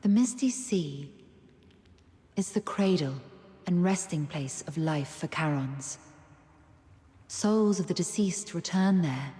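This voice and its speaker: An actress voicing a serene, wise woman guide in a video game, speaking in a gentle, measured, philosophical manner. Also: low voice. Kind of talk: solemn video game dramatic monologue. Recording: echoey long reverb, background music.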